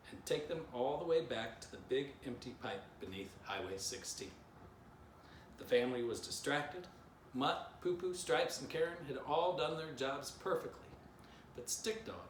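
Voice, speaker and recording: Male storyteller for a kids' book, lively pitch swings, playful direct asides, recorded in an echoey room. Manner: playful asides addressed directly to young listeners. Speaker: author reading own book aloud. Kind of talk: animated children's story read-aloud. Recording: roomy echo, background noise.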